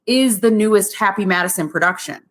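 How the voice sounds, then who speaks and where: A woman's voice: medium pitch, fairly quick. A woman hosting a podcast in casual banter, brash and emphatic with a salty tongue. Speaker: podcast host. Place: a friend's house.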